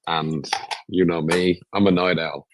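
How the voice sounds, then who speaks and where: A man's voice: deep voice, fairly even intonation, measured pace, a little hiss or hum, poor audio. A man from Canada in casual chat, joking and teasing.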